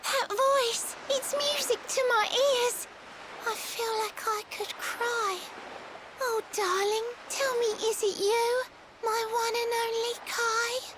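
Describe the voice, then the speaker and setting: A woman's voice: very high-pitched, steady tone, slow pace, background noise. A woman voicing a sweet, wistful, devoted young mermaid in a video game, speaking theatrically in rhyming verse. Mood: hopeful, overjoyed.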